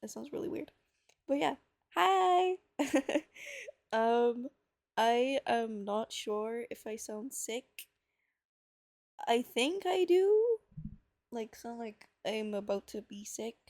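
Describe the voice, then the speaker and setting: A woman's voice: on the high side, expressive, takes it slowly, pauses often, a little mellow, no room sound. A woman in a casual, playful, self-deprecating manner, as in an informal read-aloud recording. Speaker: reader on a personal audio series. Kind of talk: casual chat and reading a novel aloud.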